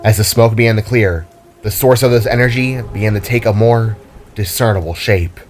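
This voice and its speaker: A man's voice: on the low side, normal speed, slight background noise. A man narrating a scripted fantasy story in a dramatic storytelling style.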